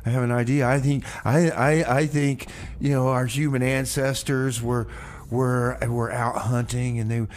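A man's voice: mid-range voice, little pitch movement, a little slow, hardly any pauses, a little hiss or hum. A man hosting a community radio music show, rambling and stammering through his stories. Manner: rambling, stammering, repeats himself. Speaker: radio DJ host. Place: radio studio.

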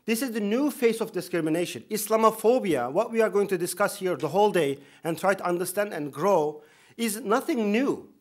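A man in his thirties with a Turkish accent and a fairly high voice speaks from a podium in a lecture hall. He talks in an earnest, emphatic way, with touches of dry humor. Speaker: imam giving opening remarks. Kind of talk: conference speech. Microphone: podium microphone.

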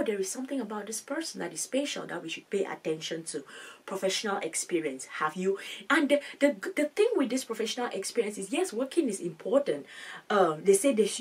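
A woman in her thirties coaches viewers through a scholarship application, talking animatedly and often repeating herself mid-thought. Her voice is a little husky and sits slightly back from the camera mic in a room with bookshelves and plants. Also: mid-range voice, distant mic. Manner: animated, gestures a lot, repeats words while explaining. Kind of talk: casual advice video.

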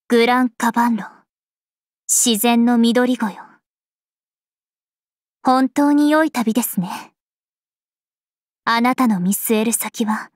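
A woman playing a regal, serene vampire princess of a video game, speaking formally and with measured poise. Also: a little high, no echo, clean studio sound. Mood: calm, regal. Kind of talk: scripted mobile game character voice lines.

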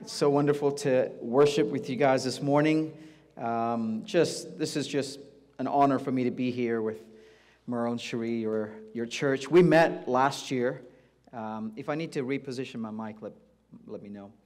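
A middle-aged man from India who grew up in Dubai, giving an informal sermon as a guest preacher in a conversational, halting way. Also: mid-range voice, a little mellow. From India-born, grew up in Dubai. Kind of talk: informal sermon, personal testimony. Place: church auditorium stage, before a congregation.

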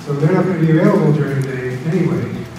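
A man's voice: on the high side, racing, over music. Man talking to a hall, echoey and far. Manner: relaxed storytelling with fillers. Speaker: founder speaking to students. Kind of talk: motivational talk to an audience. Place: large hotel conference room.

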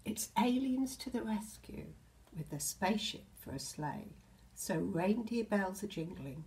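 A British woman in her sixties reads a children's picture book aloud, expressively, with gentle asides addressed to the children. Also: medium pitch, expressive, measured pace. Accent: British English. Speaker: storyteller, infant school teacher. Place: indoors in a home hallway.

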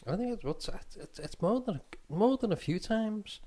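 A man co-hosting a paranormal radio show, agreeable and quick to back up his partner. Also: sits high, expressive, dark tone. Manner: agreeable, backs up the other host. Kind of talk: casual studio chat. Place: radio studio.

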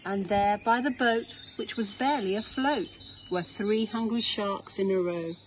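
A middle-aged English woman reads a picture book to children at an unhurried pace. Her voice sits at a medium pitch and she phrases each line with care. It is recorded outdoors, with faint noise behind her. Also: poor audio. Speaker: head teacher reading a picture book. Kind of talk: children's storybook reading aloud. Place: outdoors in a small garden.

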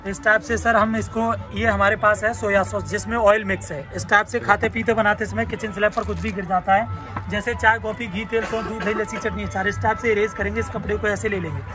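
A young man pitches goods at an outdoor fair stall in rehearsed, list-heavy patter. His voice sits very high for a man and moves quickly. Music plays clearly behind him. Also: steady tone. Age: young adult. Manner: rehearsed, repetitive listing pitch. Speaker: market stall vendor demonstrating products. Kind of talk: sales demonstration patter. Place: open-sided tented fair stall outdoors. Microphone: handheld camera a step or two away.

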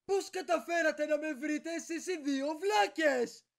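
A man's voice: extremely high pitch, creaky. A man voicing a hot-tempered, boastful mad scientist villain in a cartoon parody dub, ranting and insulting. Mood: scornful, boastful.

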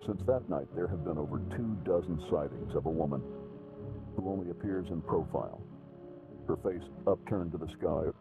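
A man playing a grave narrator of a spooky legends documentary, speaking in a measured, ominous, scripted way. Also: sits low. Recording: dull lo-fi TV audio, loud music.

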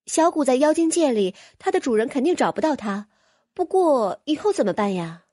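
A woman's voice: high-pitched, very animated, normal speed, dark tone, no room sound, studio quality, pitched above the performer's own voice. A woman voicing an earnest, curious young fantasy heroine in an audiobook, inquisitive and decisive. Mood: concerned. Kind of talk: audiobook character voice.

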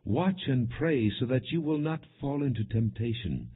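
Grave, troubled male teacher read by a man for scripture audiobook: earnest, commanding, husky. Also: medium pitch, expressive, normal speed, pitched above the performer's own voice. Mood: urgent, reproachful. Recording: muffled, narrow phone-like sound.

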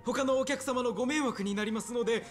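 An actor playing a flustered, deferential club receptionist in a Japanese video game, hesitant and very polite. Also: male voice, very high voice, fairly quick, over loud music. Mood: flustered, apologetic.

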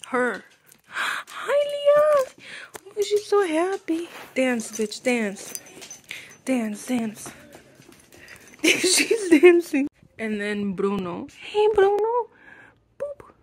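A sick young woman in her twenties, hoarse and congested, chatting casually to a close camera at home, cursing and coughing now and then. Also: sits high, wildly swooping pitch, drawn-out words, long pauses, a little mellow. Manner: chatty, swearing, coughs between lines. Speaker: vlogger. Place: living room at home. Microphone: camera close to face.

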